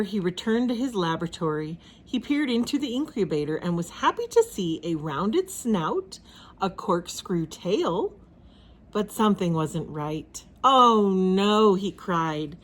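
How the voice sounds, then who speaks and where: A woman reading a children's storybook aloud in a dramatic, expressive narrating style. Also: medium pitch, slightly rough.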